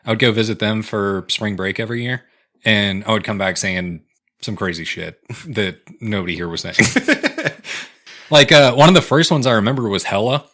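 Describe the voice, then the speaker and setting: A man's voice: lowish voice, moderate pace, a bit bright. An American man in his twenties co-hosting a podcast with casual, profane, slangy comedic chat. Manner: profane, slangy, often breaking into laughter. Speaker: podcast co-host.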